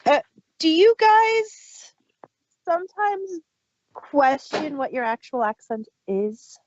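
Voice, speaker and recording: A woman with a slightly high voice talks casually as a podcast co-host. The sound is close and dry, with a dull, lo-fi edge. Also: sing-song, slow, no echo. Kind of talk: casual chat.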